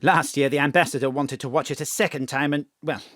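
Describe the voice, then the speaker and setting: A woman playing a pompous, repressed gentleman spy chief, plummy and old-fashioned, in a comic audio drama. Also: deep voice, steady tone, fairly quick, no echo, clean studio sound. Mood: apologetic.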